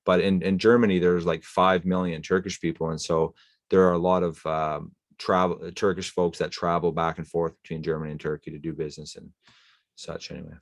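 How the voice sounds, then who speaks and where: A man's voice: low-pitched, level tone, fairly quick, no echo, studio quality. A man hosting a podcast, talking thoughtfully with lots of fillers and restarts. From Canada. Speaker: podcast host. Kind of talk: casual interview chat.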